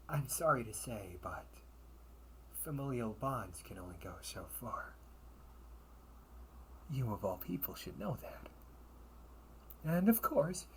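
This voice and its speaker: A man's voice: mid-range voice, a little hiss or hum. A man acting a silky, scheming crime-family older brother. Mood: amused, coolly firm. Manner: silky, teasing, unhurried, theatrical. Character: smooth, scheming older brother, crime syndicate information broker. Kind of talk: audio roleplay acting.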